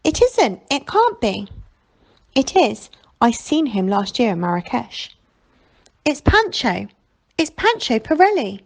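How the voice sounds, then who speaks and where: Storybook role: awestruck slangy fairground kid played by a woman, breathless, raised pitch, very lively. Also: slow, vocal fry, pauses often, full character voice. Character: excitable awestruck fairground kids, streetwise.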